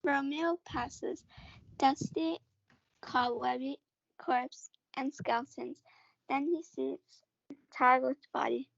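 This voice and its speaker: A woman's voice: sits high, steady tone, slow pace, creaky, long pauses, a bit bright, no room sound. A female student reading scripted narration for a school reader's theatre play. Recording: dull lo-fi audio.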